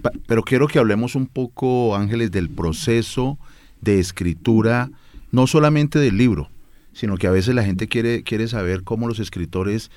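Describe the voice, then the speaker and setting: Low-voiced man interviewing, dry sound. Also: normal speed, a little mellow, dry recording. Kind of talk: conversational interview questioning.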